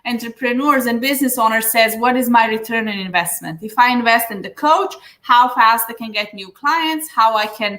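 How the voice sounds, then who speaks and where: A woman's voice: fairly high pitch, moderate pace, few pauses, bright, forceful tone. A woman from Serbia with a Serbian accent, a business coach giving earnest advice in an interview, with frequent fillers. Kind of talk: interview answers. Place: Dubai.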